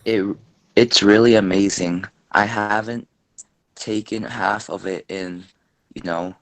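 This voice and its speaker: A man reading a part in a play script aloud, in a halting way that stumbles over words. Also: fairly low pitch, steady tone, poor audio.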